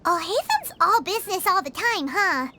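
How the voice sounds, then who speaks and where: A woman voicing a small, chirpy, excitable childlike fairy companion in a video game. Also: very high voice, normal speed, a little hiss or hum, heavily acted. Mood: curious. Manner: refers to self in third person, chirpy. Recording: music behind the voice.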